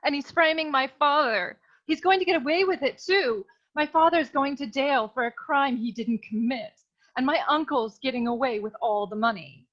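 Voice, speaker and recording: A woman's voice: high voice, moderate pace, a bit bright. A woman playing a distressed, earnest dame pleading with a detective in a comic noir radio serial. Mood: pleading, upset. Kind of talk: parody noir radio drama acting. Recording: dull, muffled lo-fi audio.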